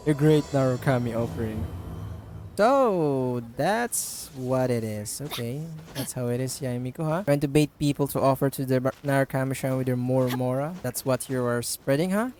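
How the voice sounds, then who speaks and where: A man's voice: medium pitch, animated, normal speed, a little mellow, slight background noise. A man doing casual let's play commentary, chatting and reacting aloud to the game. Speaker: gaming streamer. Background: music.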